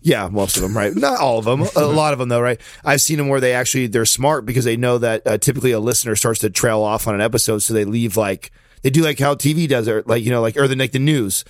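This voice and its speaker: A man's voice: mid-range voice, fast, dry recording, clean studio sound. A man co-hosting a podcast, rambling with lots of fillers in casual banter. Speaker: podcast co-host.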